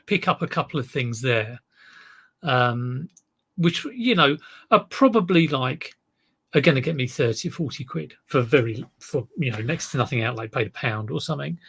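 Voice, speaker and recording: Gravelly, husky South East London man. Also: fairly high pitch. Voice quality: relaxed drawl. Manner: rambling, chatty, reads out viewer comments. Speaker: eBay reseller livestream host. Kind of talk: casual live stream chat with viewers.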